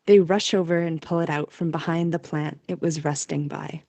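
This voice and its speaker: A woman's voice: deep voice, steady tone, moderate pace, dark tone, dry recording. A woman reading scripted story narration as the narrator of an amateur audio drama.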